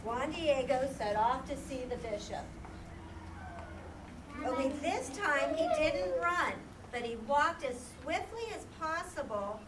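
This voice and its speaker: A woman narrating and presenting a children's puppet show as a storyteller. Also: high-pitched. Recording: echoey, distant, noisy live recording.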